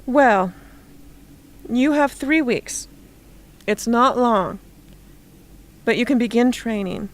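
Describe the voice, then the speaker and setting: A woman's voice: a little high, slow pace, pauses often. Woman acting stern, formal female rebel leader. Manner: formal, clipped, official. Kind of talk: amateur radio play acting. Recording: noisy amateur recording.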